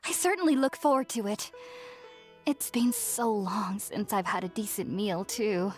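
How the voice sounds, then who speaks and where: A woman playing a gentle, earnest young woman scholar in a video game, speaking in a polite, measured, reflective way. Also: medium pitch, close to an everyday voice. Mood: grateful, polite. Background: music.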